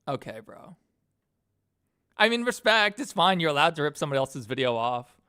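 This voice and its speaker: A man with a New York accent chatting casually as he works on animation, animated, joking and prone to swearing. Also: fairly high pitch, moderate pace, clear, resonant tone, dry recording, clean studio sound. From Queens, New York. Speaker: streamer and animator. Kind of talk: casual reacting and chat while working.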